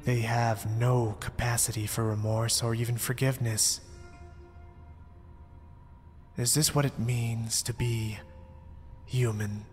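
A man's voice: mid-range voice, little pitch movement, unhurried, darkish tone, lightly acted. A man playing a mysterious young zealot, polite, pompous and persuasive, in an ASMR roleplay drama. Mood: polite, grandiose. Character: mysterious, smooth-talking young male zealot cult recruiter. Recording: long reverb, background music. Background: music.

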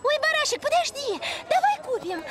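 A woman's voice: very high-pitched, sing-song, normal speed, crowd noise. A woman voicing a girlish, wilful piglet in a cartoon, whiny and coaxing. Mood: pleading, pouty.

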